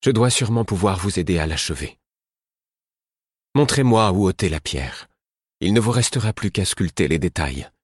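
A man's voice: low voice, lively intonation, normal speed, long pauses, husky, no room sound, clean studio sound, pitched above the performer's own voice. Man voicing an earnest, resolute queen. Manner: earnest, urgent.

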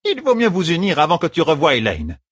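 A man's voice: a little high, wildly swooping pitch, brisk, a bit bright, breathy edge, no room sound, clean studio sound, voice pushed up, exaggerated voice. A man voicing a stern, stubborn old woman servant in an audiobook, with an imperious, scolding manner. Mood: stern, bossy.

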